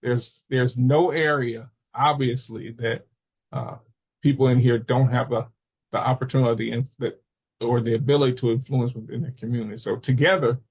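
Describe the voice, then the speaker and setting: American man presenting a training webinar, slightly husky. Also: mid-range voice, fairly even intonation, moderate pace, a little mellow. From Chicago, United States. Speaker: webinar presenter, substance misuse prevention trainer.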